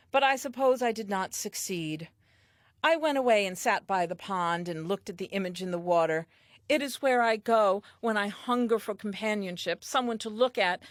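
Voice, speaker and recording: A woman acting an eager, very animated first woman. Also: medium pitch, no echo, studio quality, lightly acted. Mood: hopeful, wistful. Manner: expressive, earnest, chatty. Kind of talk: comic audio play monologue, diary entries.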